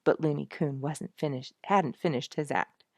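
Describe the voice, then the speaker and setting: Low-voiced woman storyteller, close dry sound. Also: normal speed, a little mellow, no echo. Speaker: audiobook narrator. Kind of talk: expressive storytelling narration for children.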